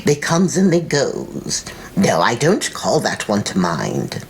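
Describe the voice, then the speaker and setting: A man's voice: mid-range voice, wildly swooping pitch, takes it slowly, gravelly, slight background noise, voice pushed up, full character voice. A man voicing a gossipy older landlady with a folksy drawl in a storybook reading. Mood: dismissive.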